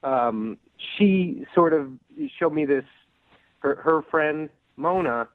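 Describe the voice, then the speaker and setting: Over a dry, narrow phone connection, a male caller talks to a radio show. His voice sits a little high for a man, and he tells things haltingly, nervous and quick to apologise. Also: animated, no echo. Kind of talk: casual, halting storytelling. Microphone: phone call. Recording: narrow, tinny phone line.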